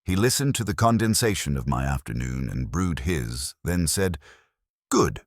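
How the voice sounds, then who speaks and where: A man with an extremely deep voice narrates a first-person detective story. His delivery is measured and wry, with a lot of movement in the intonation. The recording is dry, with hardly any room sound. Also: expressive, dry recording. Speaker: audiobook narrator.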